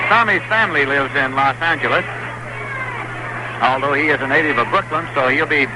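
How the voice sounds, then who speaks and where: An American man calling baseball play-by-play in a 1960s radio style, on a noisy old broadcast. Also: on the high side. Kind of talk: 1960s radio sports commentary.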